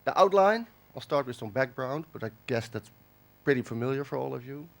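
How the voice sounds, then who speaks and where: A man's voice: medium pitch, expressive, creaky. Man lecturing with a cold. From Netherlands. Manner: halting, with frequent fillers and restarts. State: has a cold. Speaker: conference speaker. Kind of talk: academic conference lecture. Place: conference hall.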